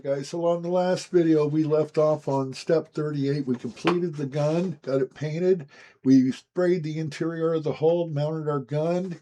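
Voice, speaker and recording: A man with a hoarse, raspy voice as he gets his voice back, hosting a casual, chatty walkthrough of building a scale model. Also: fairly high pitch. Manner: relaxed, chatty, frequent "guys" and "um". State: hoarse, recovering from lost voice.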